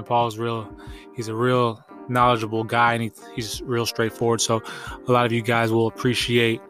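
A man's voice: mid-range voice, fairly bright tone. A congested man hosting a podcast in casual, slangy, conversational talk. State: congested from allergies. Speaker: podcast host.

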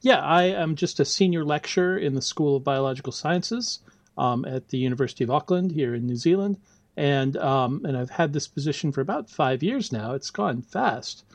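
A middle-aged American man, a biology lecturer, speaking as a podcast guest in a relaxed, explanatory way with frequent ums and some laughs. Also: fairly high pitch, moderate pace, no room sound. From United States. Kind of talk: casual interview talk.

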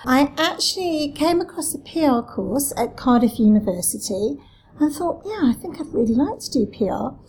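A woman's voice: sits high, lively intonation, moderate pace, a little mellow, low-quality recording. A woman in her forties, a communications director answering interview questions in a chatty way with self-deprecating humour. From Wales. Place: office.

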